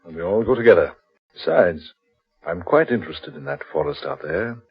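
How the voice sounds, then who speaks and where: An actor playing a genial, curious English gentleman in 1940s radio style. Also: sits low, big pitch swings, normal speed, exaggerated voice. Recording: muffled, husky old radio recording.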